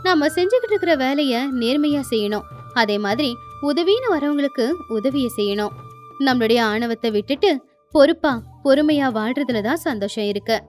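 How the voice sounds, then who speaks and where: A woman's voice: high voice, lively intonation, normal speed, a little mellow. A woman narrating a scripted moral story expressively. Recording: music behind the voice.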